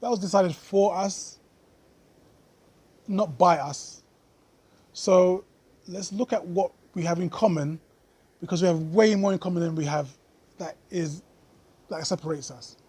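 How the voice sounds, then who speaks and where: Brief: male vlogger, slightly high and husky voice, earnest unscripted commentary. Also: mellow. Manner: earnest, thoughtful, with frequent pauses and fillers.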